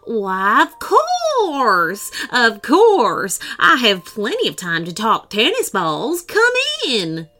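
A woman's voice: on the high side, wildly swooping pitch, slow, hardly any pauses, pushed and bright, soft background music, full character voice. A woman voicing a fussy, pompous male collector in a storybook reading, with a theatrical manner.

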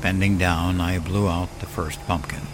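A man narrating a scripted first-person horror story as a weary, wistful old man, in a measured, solemn and dramatic way. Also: low-pitched, flat delivery. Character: weary, wistful elderly man telling his ghostly tale. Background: music under the voice.

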